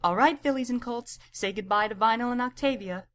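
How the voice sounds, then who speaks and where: A woman's voice: a little high, brisk, a bit bright, close to an everyday voice. A woman voicing a polite, kindly female pony receptionist in an audiobook, with a friendly manner. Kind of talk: audiobook character acting.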